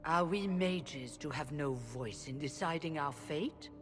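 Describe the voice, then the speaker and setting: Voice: medium pitch, measured pace, natural voice. A woman playing a dignified, indignant leader of mages in a fantasy game, formal in manner. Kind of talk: dramatic fantasy game acting. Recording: hall reverb, music behind.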